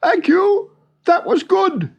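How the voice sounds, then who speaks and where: A man voicing a giant, polite, grateful storybook bird that speaks in simple, courteous, measured phrases. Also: very high-pitched, very animated, gravelly, dull audio, voice pushed up, full character voice. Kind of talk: storybook character voice.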